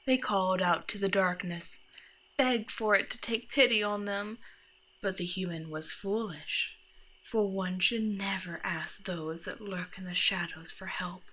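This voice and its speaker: Woman playing a bright-voiced grumpy bedside monster. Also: medium pitch, poor audio. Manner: exasperated, bossy, mock-threatening. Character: grumpy, sarcastic female monster from under the bed. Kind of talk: scripted ASMR roleplay, speaking to the listener.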